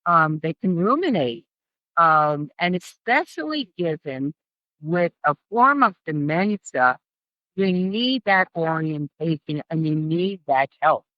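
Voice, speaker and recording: A woman's voice: low voice, lively intonation, slow, no echo, highs cut off. A middle-aged woman speaking as an interview guest and care specialist, explaining and advising emphatically and repeating phrases for stress.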